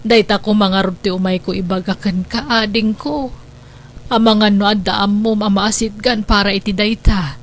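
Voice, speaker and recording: A woman's voice: mid-range voice, steady tone, moderate pace, slight background noise, lightly acted. A woman acting a long-suffering elderly mother and grandmother in a radio drama, tender, pleading and sighing. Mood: weary, pleading.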